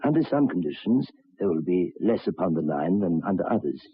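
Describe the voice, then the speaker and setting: An actor playing a troubled, educated railway signalman in an old radio drama, speaking haltingly and repeating his words. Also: deep voice, very animated, slightly rough, no echo, exaggerated voice. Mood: resigned. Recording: tinny, band-limited old radio recording.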